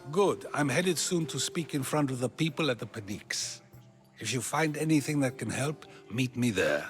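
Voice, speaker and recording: Game casting: a man playing a calm, probing old philosopher, measured and questioning. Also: mid-range voice, faint music behind, close to an everyday voice.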